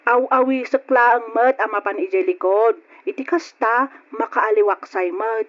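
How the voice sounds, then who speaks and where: A woman's voice: on the high side, unhurried, close to an everyday voice. A woman playing a worn-out, apologetic helper in a radio drama, hesitant, pleading and deferential. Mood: flustered, apologetic. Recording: dull lo-fi radio drama recording.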